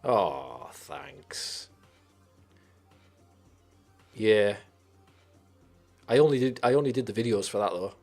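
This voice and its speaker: A man's voice: fairly low pitch, very animated, slow pace, creaky, long pauses, darkish tone, soft background music. A man with a Teesside accent hosting a live stream, chatting casually and swearing as he reads and answers viewer comments. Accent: Teesside English. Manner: rambling and sweary, with frequent restarts.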